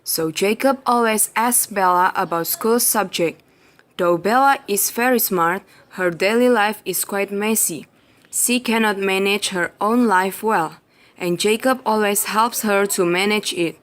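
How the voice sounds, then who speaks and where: A woman narrating a scripted radio drama story. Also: mid-range voice.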